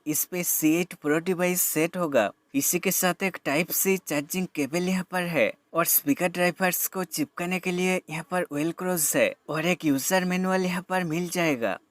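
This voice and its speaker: A man's voice: sits high, dry recording. Man explaining step by step, close dry sound. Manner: plain step-by-step explaining, Hindi mixed with English terms. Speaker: product reviewer. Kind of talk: scripted product demo voice-over.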